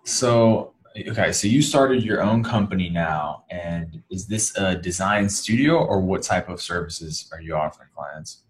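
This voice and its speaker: A man hosting an interview, asking questions in a relaxed way with short acknowledgements. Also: sits low, a little slow, hardly any pauses. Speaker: podcast host.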